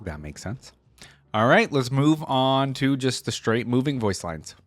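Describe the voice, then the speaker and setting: A man hosting a reaction video, giving informal, off-the-cuff commentary in a halting, reflective way. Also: mid-range voice, big pitch swings.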